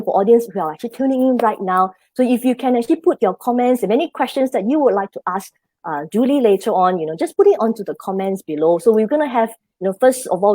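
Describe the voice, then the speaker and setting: A woman hosting a live online show, chatty and relaxed, filling her talk with "you know". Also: medium pitch, dry recording. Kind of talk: casual chat interview.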